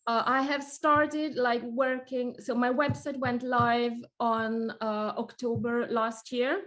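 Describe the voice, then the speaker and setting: A woman's voice: fairly high pitch, flat delivery, takes it slowly, fairly bright tone. A woman whose first language is Italian, talking on a video call as a guest interior designer, chatty and full of fillers and restarts. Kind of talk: casual video call chat.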